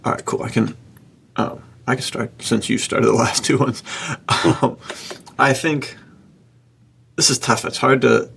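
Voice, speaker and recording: A man in casual, reflective conversation as a podcast co-host, thinking aloud informally in a gravelly, husky voice. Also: medium pitch, steady tone, a little slow, pauses often, a bit bright, a little hiss or hum.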